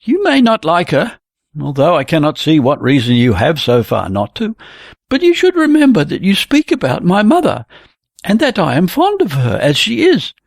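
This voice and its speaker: A narrator voicing a sensitive young man who is quick to take offense. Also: mid-range voice, wildly swooping pitch, creaky, no room sound, clean studio sound, voice pushed up, exaggerated voice. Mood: heated. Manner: hurt, heated, pleading.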